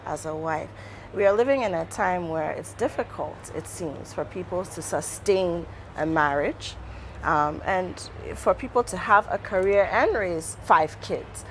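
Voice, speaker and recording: A woman hosting a talk show, asking her guest questions in a measured way. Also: fairly low pitch, slight background noise, poor audio. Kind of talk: interview questions. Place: outdoor rooftop terrace set.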